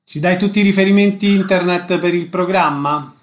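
A man with a slightly high voice presents on the radio. He speaks as an interviewer, handling programme details for listeners. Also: moderate pace.

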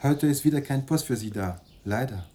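An actor playing a polite, chatty Tunisian hotel servant in a radio play, with a deferential manner. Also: male voice, medium pitch, lively intonation, moderate pace, mellow, natural voice. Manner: deferential, chatty, sprinkles French words.

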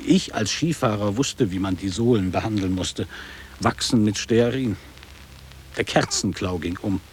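A man acts a middle-aged former boarding-school pupil in a literary radio play. His voice sits fairly low and he reminisces in clipped, declamatory phrases, with clear noise behind him. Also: unhurried, lightly acted. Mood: wistful recollection. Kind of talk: literary German radio drama monologue.

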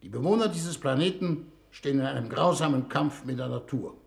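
A man's voice: medium pitch, fairly quick, vocal fry, natural voice. An actor in a German-language 1960s radio drama playing a shrewd, commanding statesman who speaks formally and persuasively. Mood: urging, persuasive. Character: shrewd, smooth-talking male statesman leading a Venus mission.

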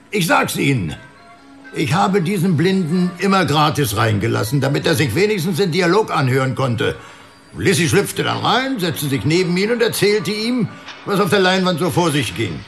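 A man's voice: on the high side, gravelly, fairly bright tone, exaggerated voice. An actor in a radio drama playing a talkative, folksy cinema counter man, indignant and loyal.

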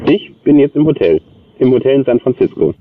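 A man speaking on the phone in a halting, hedging, somewhat apologetic way. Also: medium pitch, sing-song, recorded from a distance, narrow, tinny audio, background noise. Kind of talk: hesitant phone conversation. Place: on the phone from a hotel room.

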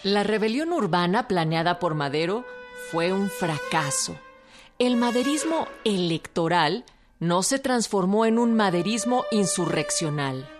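A woman's voice: medium pitch, lively intonation, measured pace. A woman narrating history from a script in documentary style. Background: music.

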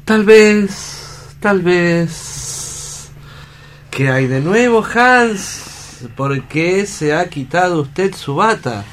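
An actor playing a calm, kindly, somewhat hesitant doctor in a radio drama, speaking in a measured way. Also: male voice, sits high, slight background noise, full character voice. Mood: gentle, questioning. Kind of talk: dramatic staged radio reading.

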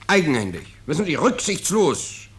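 An actor playing a smug, anxious middle-aged businessman in a 1950s radio drama, husky and insistently defending himself. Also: fairly high pitch, very animated, normal speed, a bit bright, exaggerated voice. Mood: agitated, evasive. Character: smug, self-justifying, anxious bourgeois businessman.

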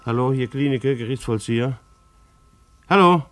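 Ein Schauspieler spielt im Hörspiel einen pedantischen, ängstlichen deutschen Gerichtsvollzieher, aufgeregt und stockend. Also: male voice, mid-range voice, moderate pace, background noise, close to an everyday voice. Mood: excited, officious. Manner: agitated, stammering, officious. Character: fussy, anxious German male bailiff, rule-bound civil servant. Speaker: actor in a radio play. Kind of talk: German radio drama acting.

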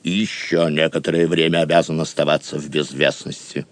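A man's voice: a bass voice, flat delivery, fairly quick, no room sound. An actor playing a noble, steadfast king in a Russian audio drama, stately and commanding. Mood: solemn, reassuring. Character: Richard the Lionheart, hidden king, noble and steadfast.

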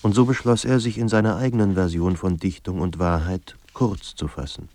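A man narrating a literary radio drama about a despairing writer in a grave, measured, introspective manner. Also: low voice, fairly even intonation, a little mellow. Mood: grave, insistent. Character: somber male narrator of a despairing writer's life. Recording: music under the voice. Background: music.

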